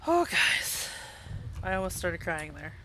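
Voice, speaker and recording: A woman's voice: lowish voice, big pitch swings, takes it slowly, bright, forceful tone, slight background noise, poor audio. A woman with a youthful baby voice chatting casually while streaming a game, laughing and reacting. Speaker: livestream host playing a game. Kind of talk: casual gaming commentary.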